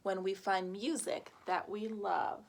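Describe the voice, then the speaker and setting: A woman's voice: medium pitch, flat delivery, takes it slowly, gravelly, a little hiss or hum. A woman voicing a shy, sensitive male giraffe from a storybook, speaking earnestly and simply. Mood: contented.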